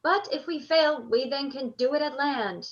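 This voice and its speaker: Woman acting proud reckless general, high bright voice, impassioned Shakespearean declamation, radio play, dull lo-fi recording. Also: moderate pace, soft background music, close to an everyday voice. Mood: defiant. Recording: dull lo-fi audio.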